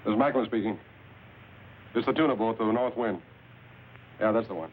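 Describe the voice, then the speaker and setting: A man's voice: on the low side, moderate pace, close to an everyday voice. An actor playing a tough, curt, wisecracking gangster henchman in a 1940s film noir style. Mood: smug. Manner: curt, hard-boiled. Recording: tinny, hissy old film soundtrack.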